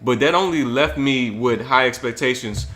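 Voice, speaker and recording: Male co-host, bright voice, enthusiastic and rambling, casual chat. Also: medium pitch, moderate pace, faint music behind.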